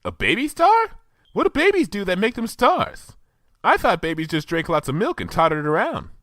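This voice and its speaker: A man's voice: high-pitched, big pitch swings, a bit bright, dry recording, studio quality, voice pushed up. A man voicing a hyper young party-loving pony mare who chatters in a giddy, squealing rush. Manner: giddy, squealing, rapid-fire.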